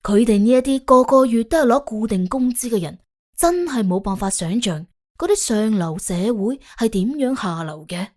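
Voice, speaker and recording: A woman narrating an audiobook in colloquial Cantonese, telling the story in an expressive, wry way. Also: on the high side, moderate pace. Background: faint background music.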